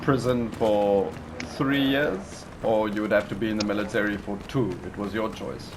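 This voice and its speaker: A man's voice: fairly low pitch, fairly even intonation, moderate pace, background noise. A South African man whose first language is Afrikaans, chatting casually over game voice chat and stopping often to search for words. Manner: hesitant, repeats words while searching for them. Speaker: gamer teammate. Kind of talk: casual voice chat while gaming.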